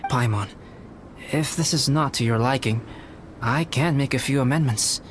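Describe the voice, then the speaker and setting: A man voicing a calm, polite young scholar and artist in a video game, speaking in a measured, formal manner. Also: mid-range voice, noisy background, close to an everyday voice. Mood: thoughtful, polite. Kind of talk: animated game voice acting. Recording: music behind the voice.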